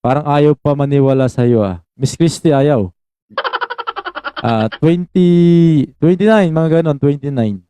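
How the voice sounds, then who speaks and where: Smooth Filipino man greeting viewers. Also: a little high, unhurried, dark tone, no room sound. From Philippines. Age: 20s. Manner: greets viewers by name between chat, mixes Tagalog and English. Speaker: live stream host. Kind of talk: casual live-stream chat.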